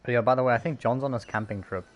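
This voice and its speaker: A man's voice: medium pitch, very fast, dull audio. A man doing casual game playthrough commentary and reading dialogue aloud in an offhand, joking way. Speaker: gamer, streamer. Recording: game music behind.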